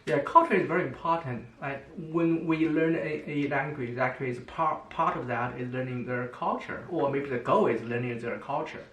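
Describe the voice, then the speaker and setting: A middle-aged man whose first language is Chinese, chatting casually and haltingly, with many restarts and fillers. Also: medium pitch, a bit bright, reverberant, distant mic, low-quality recording. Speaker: co-host, father. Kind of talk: casual chat.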